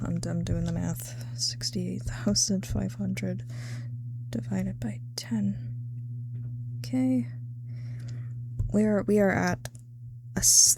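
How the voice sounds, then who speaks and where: A tired woman talks slowly in an ASMR style, drifting between soft speech and whispers. Her voice has a marked creak and sits at an average pitch. She rambles casually to her viewers, amused at herself and loose with swearing. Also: slight background noise. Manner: self-amused, giggly, freely swearing. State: tired, late at night. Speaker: ASMR creator.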